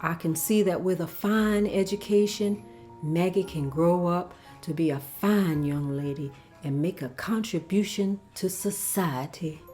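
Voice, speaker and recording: A woman playing a genteel, compassionate lady of the house in a measured, proper manner at a table read. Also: on the low side, dark tone, faint music behind, close to an everyday voice. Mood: reassuring.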